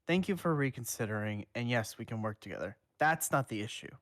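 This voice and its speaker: A man's voice: mid-range voice, lively intonation, a little fast, fairly bright tone, dry recording. A man reading as a wry teenage ghost boy.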